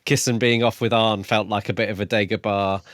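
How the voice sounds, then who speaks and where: A man's voice: lowish voice, flat delivery, fairly quick, a bit bright, no echo, clean studio sound. A man co-hosting a podcast, discussing a book casually and thoughtfully, feeling out his ideas as he goes. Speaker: podcast co-host.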